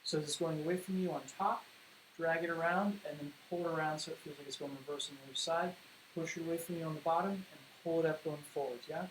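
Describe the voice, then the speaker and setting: A man's voice: on the high side, steady tone, quick pace, poor audio. A man losing his voice, hosting a livestream Q&A and teaching poi spinning in a chatty, rambling way full of fillers. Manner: chatty, rambling explanations with many fillers and restarts. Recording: home livestream with faint hiss.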